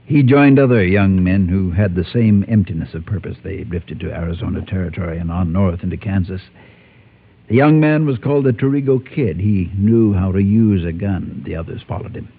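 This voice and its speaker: An actor playing a guilt-ridden former gunfighter turned preacher in a Western radio drama, speaking in a grave, halting, confessional way with a husky voice. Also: male voice, low-pitched, lively intonation, exaggerated voice. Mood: haunted, confessional. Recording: muffled, tinny old-time radio recording.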